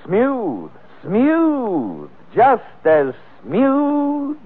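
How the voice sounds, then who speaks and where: A high-voiced male pitchman in 1940s radio style. He stretches slogans slowly and emphatically with huge swoops of pitch, over a thin vintage broadcast recording. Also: huge pitch swings, narrow, tinny audio. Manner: drawn-out, emphatic slogan delivery. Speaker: commercial pitchman. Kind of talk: 1940s radio advertising delivery.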